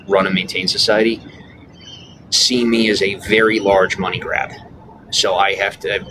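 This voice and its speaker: Man, low-pitched, rambling and insistent, lots of ums and restarts; outdoor noise, birds and traffic behind. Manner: meandering, full of fillers and restarts; earnest, insistent. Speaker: vlogger. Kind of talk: rambling personal monologue. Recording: clear background noise with birdsong and passing traffic.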